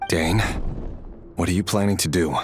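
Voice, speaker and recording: An actor voicing a cynical, self-serving man in a video game, speaking in a measured, wry way. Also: deep voice, lightly acted. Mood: grave. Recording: game music faintly behind.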